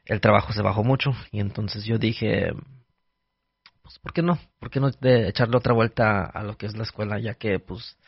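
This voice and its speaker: Young man, low and husky, hesitant radio guest; muffled dry studio sound. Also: normal speed, no echo. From United States. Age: young adult. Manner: hesitant, many restarts and fillers. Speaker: guest, board operator. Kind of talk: casual radio conversation.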